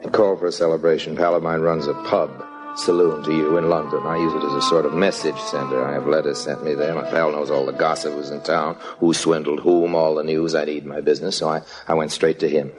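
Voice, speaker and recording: A suave, roguish swindler of old-time radio drama, speaks in a very low voice. He is urbane, wry and confiding as he tells his story, with music clearly heard behind him. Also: level tone, fast, few pauses. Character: suave, roguish con man narrating his own scheme. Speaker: narrator and lead actor. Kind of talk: old-time radio drama acting, first-person narration.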